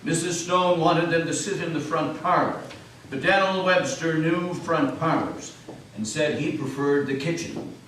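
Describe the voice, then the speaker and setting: A man with a fairly high voice narrates a story on stage in a large, very echoey hall. He reads dramatically as a storyteller, picked up from a distance with slight noise. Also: unhurried, fairly bright tone. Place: large echoey meeting house indoors.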